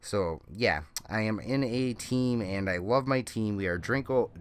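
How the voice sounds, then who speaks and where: Deep-voiced, slightly congested man. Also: measured pace, smooth. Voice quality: slightly nasal from a cold. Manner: rambling, self-correcting explanations. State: congested with a lingering cold. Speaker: gaming channel host. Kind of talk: casual game walkthrough commentary. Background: faint music.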